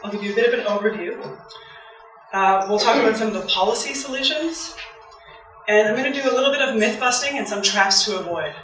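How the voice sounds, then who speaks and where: A soft-voiced woman from Canada, a waste campaigner giving a public talk, chatty and eager. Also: medium pitch, fairly quick, soft background music. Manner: chatty, eager, laughs often. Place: event hall. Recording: very echoey hall from far off.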